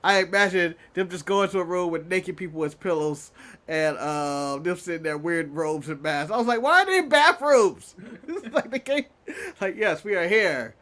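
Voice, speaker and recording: Brief: male co-host, high voice, jokey banter, laughs a lot. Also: moderate pace, pushed and bright. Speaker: podcast co-host. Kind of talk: casual chat.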